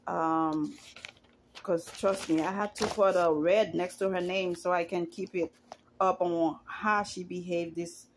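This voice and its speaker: Chatty woman with a low voice. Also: fairly even intonation, a little slow, a little hiss or hum. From Haiti. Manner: chatty, opinionated, rises in pitch when indignant. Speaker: YouTuber commenting on reality TV. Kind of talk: casual opinion vlog.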